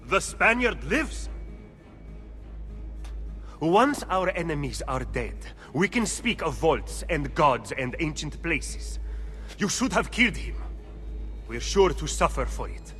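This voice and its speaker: A stern, impatient schemer. His highish voice moves sharply up and down in clipped, sceptical phrases, ringing in a big hall. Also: big pitch swings, slow, pauses often, fairly bright tone, faint music behind, heavily acted. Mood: disapproving. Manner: clipped, questioning, formal. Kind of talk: video game cutscene acting. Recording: long hall reverb.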